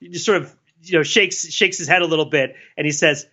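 A man co-hosting a podcast, thinking out loud in casual analytical talk, rambling with restarts and laughs. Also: on the high side, a little fast, slightly rough. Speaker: podcast co-host. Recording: dull lo-fi sound.

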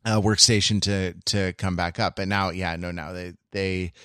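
A man chatting casually and analytically as a podcast panelist, in a halting, discursive way that circles back over phrases. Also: low voice, steady tone, a bit bright, smooth, dry recording, clean studio sound.

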